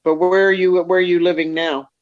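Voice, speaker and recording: A man's voice: sits high, flat delivery, a little fast, dull audio. A man co-hosting a call-in chat, bantering playfully and teasing the guest. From Baltimore area, US. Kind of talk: casual chat on a call-in show.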